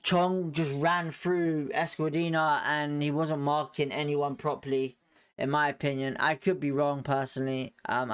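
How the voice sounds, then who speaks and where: Clear-voiced male fan doing match commentary. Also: a little high. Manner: chatty and opinionated, hedging his views. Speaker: fan watchalong host.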